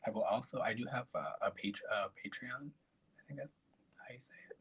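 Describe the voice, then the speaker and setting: A man, hosting a casual live stream and chatting in a soft, rambling way. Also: medium pitch, normal speed, poor audio. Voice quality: raspy. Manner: soft, breathy and rambling, with trailing asides.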